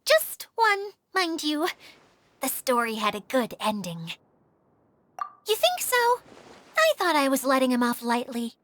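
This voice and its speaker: A woman's voice: high voice, very animated, measured pace, long pauses, a bit bright, slight background noise, heavily acted. A woman voicing a theatrical, teasing young woman in a video game, playful and self-assured. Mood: arch, teasing. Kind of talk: animated video game voice acting. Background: faint music.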